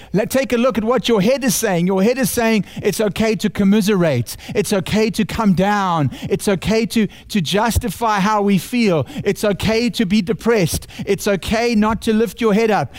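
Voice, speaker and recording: A man with a South African accent preaching a sermon, earnest and building points through repeated phrases. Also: sits high. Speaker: pastor.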